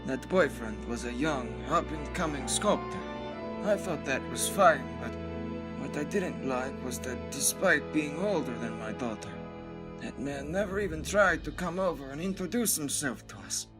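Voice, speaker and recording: A man voicing a humble, middle-aged shop owner in an anime fan dub, a grieving father who speaks politely, formally and haltingly. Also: a little high, lively intonation, over loud music, natural voice. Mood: polite, somber. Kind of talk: anime dub acting, dramatic monologue.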